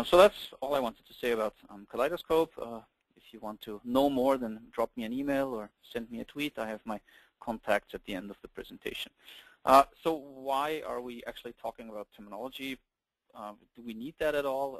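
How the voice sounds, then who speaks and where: Man, Austrian German accent, webinar presenter, middle-aged, quick with fillers, dry close audio. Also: medium pitch, dry recording, low-quality recording. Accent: German-speaking Austrian. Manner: quick, with many ums and uhs. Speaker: webinar presenter, company founder. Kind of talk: presenting a webinar over slides. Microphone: computer mic on a webinar call.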